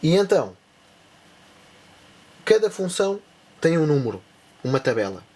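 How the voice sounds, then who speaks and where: A man's voice: fairly high pitch, sing-song, moderate pace, darkish tone. A man with a European Portuguese accent giving a casual spoken tutorial, rambling, with many restarts and asides. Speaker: tech channel presenter.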